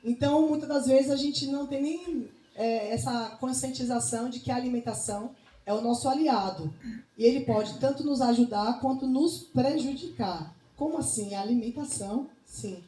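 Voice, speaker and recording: A woman's voice: fairly high pitch, normal speed, warm tone. A middle-aged woman with a Bahian Brazilian accent giving an informal, didactic talk, often checking that listeners agree. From Salvador, Bahia, Brazil. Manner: didactic, often seeking agreement with tag questions. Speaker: nutrition speaker and missionary. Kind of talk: informal public talk with religious touches. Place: indoor talk to a women's group. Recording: echoey room, faint background noise.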